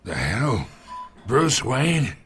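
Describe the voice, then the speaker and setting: An actor playing an aging, smug mob boss in a video game, with a slow, taunting drawl. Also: male voice, big pitch swings, fairly bright tone, soft background music, exaggerated voice. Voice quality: gravelly, low drawl. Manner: slow, taunting, chuckles between lines.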